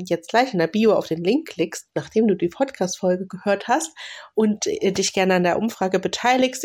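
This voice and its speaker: A woman hosting her own podcast while she has a cold, talking loosely with many fillers, restarts and throat-clearing. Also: medium pitch, darkish tone. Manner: clears throat now and then. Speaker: podcast host and mentor. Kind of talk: solo podcast talk.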